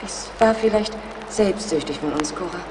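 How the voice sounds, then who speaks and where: A woman plays a timid, remorseful young daughter in an audio drama. Her voice is slightly low, with long reverb and faint noise behind it. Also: moderate pace, lightly acted. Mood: worried, regretful. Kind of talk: German audio drama acting.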